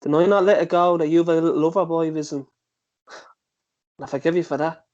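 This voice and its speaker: An actor playing a belittling, manipulative ex-partner with a condescending, needling manner in a drama table read. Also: male voice, on the high side, fairly even intonation, fast, a little mellow, highs cut off, close to an everyday voice.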